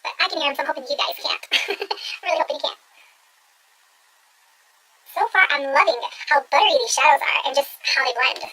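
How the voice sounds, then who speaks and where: A woman's voice: high voice, fairly quick, long pauses, sharp tone, slight background noise, low-quality recording. A woman talking through a makeup tutorial at home, chatty and gushing as she gives step-by-step instructions. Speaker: beauty vlogger.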